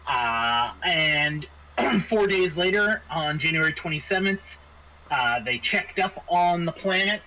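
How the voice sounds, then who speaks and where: A man with a slightly high voice acts as game master, laying out a scenario for his players. He speaks slowly and haltingly, restarting phrases as he explains in a casual way. There is faint noise behind him. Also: expressive, pushed and bright, poor audio. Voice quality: halting delivery with frequent hesitations and restarts. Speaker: game master of a tabletop roleplaying session. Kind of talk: semi-improvised briefing to players, narrating a scenario.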